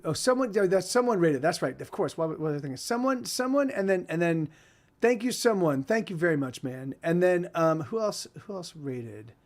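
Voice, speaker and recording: A man's voice: on the high side, darkish tone. A man in his forties, a musician chatting casually with stream viewers between songs, rambling and often repeating and restarting his words. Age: 40s.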